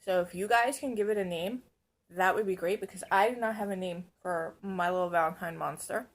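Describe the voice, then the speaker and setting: A hoarse woman chatting casually to camera in a rambling, self-mocking way. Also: fairly low pitch, fairly quick. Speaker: vlogger. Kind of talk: casual vlog chat. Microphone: camera on a stand.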